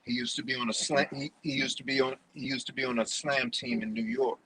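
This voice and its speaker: A man from New Jersey, a poet guest telling his story deliberately and repeating phrases for emphasis. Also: medium pitch, fairly even intonation, pushed and bright, slight background noise, rough recording. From New Jersey, United States. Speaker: podcast guest, spoken word poet. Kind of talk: personal storytelling in an interview.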